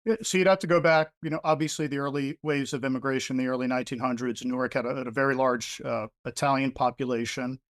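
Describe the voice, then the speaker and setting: A man's voice: medium pitch, fast, few pauses, no echo, clean studio sound. Conversational New man as author guest. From New Jersey. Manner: relaxed, conversational, many fillers like "you know". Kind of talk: interview answers.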